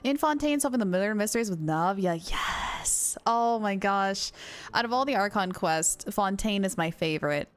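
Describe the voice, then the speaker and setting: A woman's voice: medium pitch, expressive, normal speed, soft background music. A woman live-streaming a game, chatting casually with viewers in a bubbly, giggly manner.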